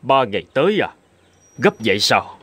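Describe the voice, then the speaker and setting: An actor playing an amiable middle-aged lord and poet, expressive and measured, in an animated folk tale. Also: male voice, mid-range voice, no room sound. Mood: lordly.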